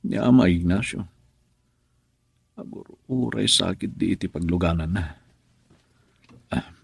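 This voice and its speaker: Troubled young Ilocano husband, dry sound. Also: male voice, deep voice, a little slow, vocal fry, husky, no room sound, full character voice. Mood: brooding. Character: troubled young married man confiding in an elder. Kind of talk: Filipino radio drama acting.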